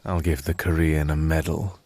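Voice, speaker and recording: An actor playing a hot-headed young heir in an audio drama, sardonic and brash, with clipped delivery. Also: male voice, extremely low pitch, level tone, normal speed, a little mellow. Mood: impatient, brash. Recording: faint background noise with birdsong.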